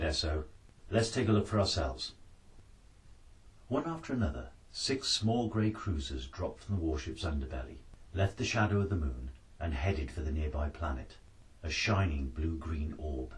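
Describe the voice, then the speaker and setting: Deep, animated male storyteller. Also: distant mic, rough recording. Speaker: author-narrator reading his book. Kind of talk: dramatic scripted story narration.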